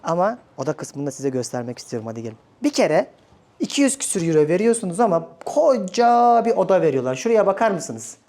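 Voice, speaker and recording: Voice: mid-range voice, very animated, a little fast, dark tone. A travel vlogger from Turkey talking casually and conversationally, addressing viewers directly.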